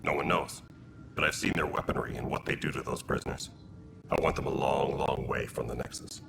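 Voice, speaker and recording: An actor playing a gruff, dutiful alien militia leader in a video game, speaking with blunt military terseness in a gravelly voice. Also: male voice, fairly even intonation, brisk, background noise, full character voice. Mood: grim. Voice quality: gravelly, very deep.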